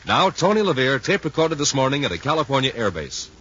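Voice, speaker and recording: A man's voice: medium pitch, sing-song, quick pace, a bit bright, slightly rough. An American man announcing and narrating in an emphatic, punchy 1950s radio style. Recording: muffled, faintly noisy 1950s radio recording.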